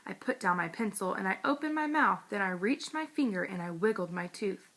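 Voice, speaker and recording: A woman reading a children's book aloud in an expressive, emphatic, childlike way. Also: mid-range voice, a little fast. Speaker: storybook read-aloud reader.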